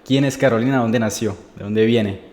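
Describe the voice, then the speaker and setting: A man's voice: mid-range voice, moderate pace, a little mellow, long reverb tail. A man hosting an interview, asking courteous, probing questions. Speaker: interview host.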